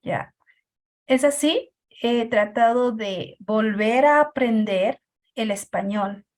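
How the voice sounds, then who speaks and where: A woman whose first language is Quichua shares her own experience as a teacher in a group discussion. Her voice sits a bit high and she speaks slowly. She pauses often and fills the gaps with soft hesitation sounds. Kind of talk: personal account in a group discussion.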